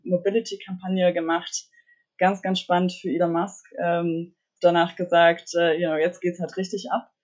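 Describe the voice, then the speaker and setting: Energetic young woman telling her founder story. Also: lowish voice. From Mecklenburg, Germany. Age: young adult. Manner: energetic, many fillers and restarts, English buzzwords. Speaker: tech founder, guest. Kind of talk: conversational podcast interview.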